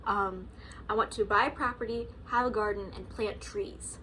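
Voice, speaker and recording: A woman's voice: mid-range voice, steady tone, normal speed, sharp tone, a little hiss or hum, poor audio. A woman in her early twenties talking casually to camera at home, chatty, with lots of "like" and "um" and frequent laughs. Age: 20s. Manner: chatty, lots of likes and ums, laughs often. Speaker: vlogger.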